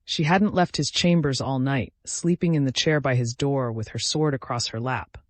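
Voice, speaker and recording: A man reading scripted fantasy narration in a solemn, measured, dramatic way. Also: mid-range voice, no room sound.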